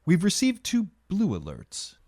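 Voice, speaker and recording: Dutiful agent, lively intonation. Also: male voice, sits high, unhurried, heavily acted. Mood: dutiful, concerned. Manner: formal, businesslike. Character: dutiful, deferential male government agent reporting to superior. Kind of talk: audio drama acting.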